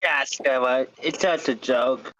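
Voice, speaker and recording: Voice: medium pitch, measured pace, fairly bright tone, lightly acted. A performer reading an obnoxious, teasing young male content creator in an amateur table read, with muffled online audio.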